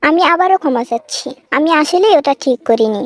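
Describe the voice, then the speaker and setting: A woman's voice: high-pitched, lively intonation, a little fast, no echo. A woman playing a polite, curious young university student from the city in a cartoon comedy, with a standard Dhaka accent and a questioning manner. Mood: apologetic. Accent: standard Dhaka Bangla.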